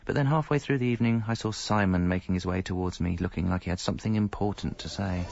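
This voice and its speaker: A man's voice: low voice, fast talker, creaky, a little mellow. An actor playing a middle-aged British married man who confesses his double life in a wry, articulate, self-mocking way, as radio comedy narration. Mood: wry, reflective. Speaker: narrator and lead character. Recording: dull lo-fi sound, faint music.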